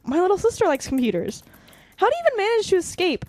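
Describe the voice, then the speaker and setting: A woman's voice: extremely high pitch, wildly swooping pitch, fast talker, a little mellow, exaggerated voice. A woman playing a blunt, hot-tempered but caring young vigilante in a naturalistic audio drama, speaking emphatically. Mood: angry, scornful.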